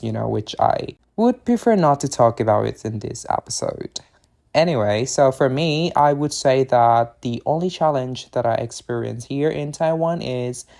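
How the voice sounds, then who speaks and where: A young man from Thailand speaks English, his tone earnest and measured. His voice carries a noticeable gravelly fry at the bottom of his mid-range pitch. He talks in a casual monologue and turns encouraging when he gives advice. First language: Thai. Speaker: international student and part-time English teacher. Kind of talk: casual personal monologue to camera.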